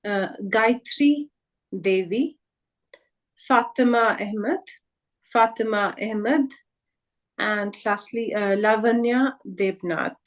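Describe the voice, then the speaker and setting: A woman's voice: on the high side, takes it slowly, long pauses. A woman whose first language is Hindi, presenting a live online workshop over a video call and carefully repeating each name as she explains it.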